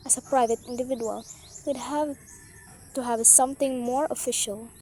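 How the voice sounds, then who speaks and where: A woman's voice: on the high side, steady tone, moderate pace, a crowd in the background, lightly acted. A woman playing an officious, skeptical telephone supervisor in an amateur audio drama. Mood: doubtful, officious. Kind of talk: amateur radio-play acting.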